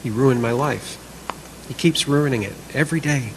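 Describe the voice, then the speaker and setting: A man's voice: medium pitch, moderate pace, slight background noise, lightly acted, poor audio. A man performing a dramatic monologue as a bitter, sleepless man who obsesses over a grudge, aggrieved and sarcastic. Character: bitter, sleepless man brooding over a feud with his neighbour. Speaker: reader in a church service skit.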